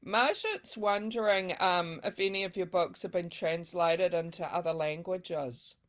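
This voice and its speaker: A woman hosts an online book club chat, passing along questions from her audience. Her voice sits fairly low, and she answers the guest with quick, encouraging affirmations. She keeps the tone soft and conversational. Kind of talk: casual interview, relaying audience questions. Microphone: video call.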